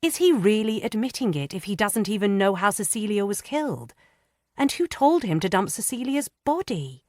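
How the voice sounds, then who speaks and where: A woman voicing an earnest young gentlewoman who speaks softly and carefully. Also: medium pitch, sing-song, moderate pace, no room sound, studio quality, pitched above the performer's own voice, lightly acted.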